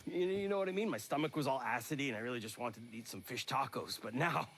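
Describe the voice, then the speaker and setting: An actor playing an excitable, goofy tech sidekick in a video game, informal and animated. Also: male voice, medium pitch, fast talker, a little hiss or hum, lightly acted. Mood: giddy. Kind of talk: video game cutscene acting.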